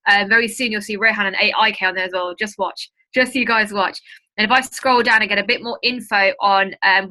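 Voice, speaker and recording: A woman's voice: medium pitch, sharp tone, poor audio. A woman hosting an informal online training webinar, chatty and emphatic, urging her listeners on as she talks to them directly. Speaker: webinar host and trading mentor.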